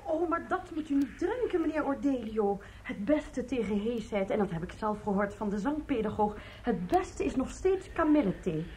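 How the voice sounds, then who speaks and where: A well-meaning busybody in a radio drama. Her voice is fairly high and very animated, insistently advising, with faint noise behind. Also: moderate pace, few pauses. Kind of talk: radio play acting.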